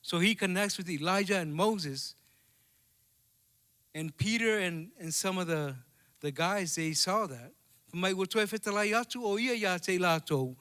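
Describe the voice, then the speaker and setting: A man's voice: high-pitched, measured pace, sharp tone, clean tone. A man with a Samoan accent preaching a bilingual sermon at a church service, conversational and checking in with the congregation. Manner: conversational preaching with tag questions. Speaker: pastor.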